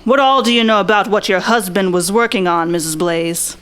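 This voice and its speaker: A woman's voice: mid-range voice, fairly quick, fairly bright tone, smooth, close to an everyday voice. Noir audio drama private eye, female performer: clean resonant voice, blunt, observant, slangy, tough. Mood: probing, blunt. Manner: tough, slangy, plain-spoken. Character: blunt, observant hardboiled 1940s private eye Pal Vargas. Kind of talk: noir radio drama acting with inner monologue.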